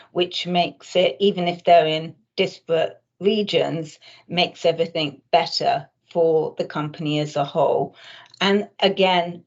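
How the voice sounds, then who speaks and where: A woman presents in an explanatory webinar manner, like a consultant walking clients through a product. Her voice sits a little low and she speaks quite slowly, often hesitating mid-sentence. The audio is somewhat dull and muffled. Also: darkish tone.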